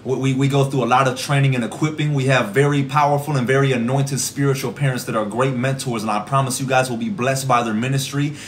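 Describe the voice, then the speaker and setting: A young man in his twenties, an evangelist from the American Midwest, praying fervently in a rhythmic, repeating cadence with a voice gone hoarse. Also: mid-range voice, steady tone, fast talker, hardly any pauses, a little hiss or hum. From Rockford, Illinois. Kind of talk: livestream prayer and preaching. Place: small indoor room at home. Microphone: phone camera at arm's length.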